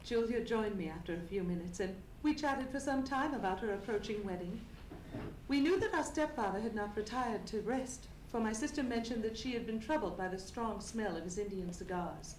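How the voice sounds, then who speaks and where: A woman's voice: medium pitch, expressive, moderate pace, darkish tone, close to an everyday voice. A woman playing a frightened, distraught young Englishwoman who tells her story formally and dramatically in an old-time radio mystery. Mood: grave recounting. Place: theatre stage, live radio play performance. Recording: echoey live theatre, faint noise.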